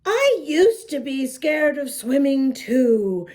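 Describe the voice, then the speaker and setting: Woman as a bubbly young girl hippo, very high. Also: big pitch swings, slow, a little mellow, full character voice. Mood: reassuring. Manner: bubbly, reassuring. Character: playful, kind young female hippo, reassuring friend.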